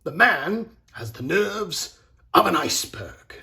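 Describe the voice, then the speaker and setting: A man voicing an excitable, boastful showman agent from a storybook, booming and exclamatory in a dramatic way. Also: takes it slowly, gravelly, full character voice. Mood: excited, boastful.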